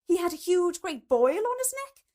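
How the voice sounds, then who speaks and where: A woman's voice: extremely high pitch, sing-song, normal speed, dark tone, voice pushed up. A woman voicing a snobbish, oblivious mother, effusive and chatty, in a short story reading. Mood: gossipy, gleeful. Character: snobbish, gushing, oblivious middle-class mother.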